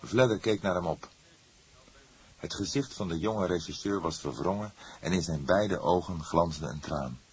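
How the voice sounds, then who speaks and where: A man with a very low, strongly husky voice reads crime fiction aloud. His delivery is measured and dramatic, as an audiobook narrator telling a story. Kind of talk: scripted crime novel narration.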